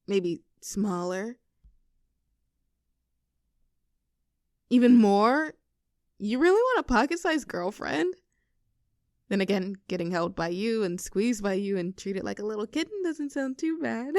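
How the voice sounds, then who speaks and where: A woman playing a playful, clingy young girlfriend in an intimate roleplay audio, teasing and cooing in an animated, affectionate way. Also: medium pitch, moderate pace, dry recording, clean studio sound. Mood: playful, amused. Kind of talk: intimate girlfriend roleplay audio, scripted one-sided conversation.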